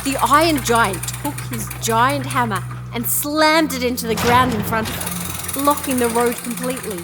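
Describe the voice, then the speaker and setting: A woman's voice: on the high side, moderate pace, lightly acted. A woman playing an earnest, idealistic and determined young princess in a fantasy audio drama, with an impatient edge. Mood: dramatic narrating. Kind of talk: full-cast audio drama. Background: music.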